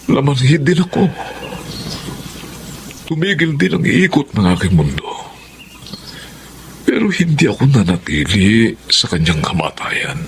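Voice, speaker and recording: A man's voice: medium pitch, sing-song, unhurried, long pauses. An actor playing a middle-aged Filipino man, a widowed friend giving consolation and counsel in a radio drama. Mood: consoling. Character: consoling male friend, a widower who has healed. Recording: faint background noise and ambience.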